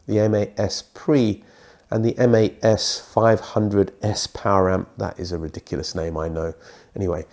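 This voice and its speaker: A middle-aged man with a British accent, his voice thick and croaky from a lingering cold. He talks low and mellow through a scripted review, dropping in the odd dry aside at his own expense. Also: little pitch movement, moderate pace. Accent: British English. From Britain. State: congested from a cold.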